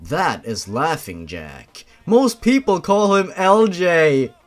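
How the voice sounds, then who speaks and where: A man's voice: on the high side, sing-song, slow pace. A man voicing a stern, commanding father with a formal, announcing manner. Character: commanding father introducing his henchmen, stern.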